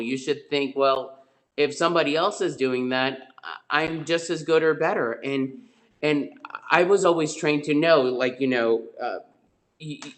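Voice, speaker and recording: A middle-aged man, a real estate agent who grew up in Spain, telling stories from his career on a webinar in a rambling way, with many fillers and restarts, over a video call. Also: on the high side. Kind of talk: webinar guest storytelling.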